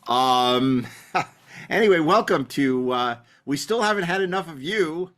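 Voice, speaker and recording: Guy podcast host, kinda high voice, really up and down, intros and banter. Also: expressive, measured pace, a bit bright.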